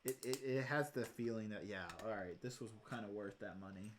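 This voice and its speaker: A man hosting a casual chat, easygoing and quick to agree with his guest. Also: lowish voice, slight background noise. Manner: relaxed, agreeable, affirming. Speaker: host.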